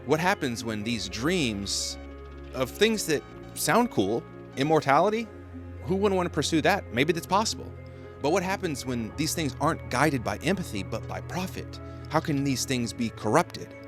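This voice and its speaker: A man's voice: on the high side, expressive, normal speed, a bit bright, faint music behind. A man delivering scripted video-essay commentary with casual asides.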